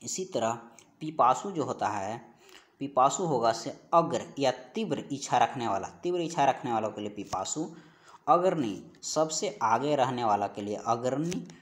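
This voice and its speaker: A male teacher with a medium-pitched voice takes learners through exam questions in a didactic way. He reads each item aloud, repeats it, and stretches out the key phrases before giving the answer. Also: lively intonation, unhurried. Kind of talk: Hindi grammar exam-prep lesson, reading out questions and answers.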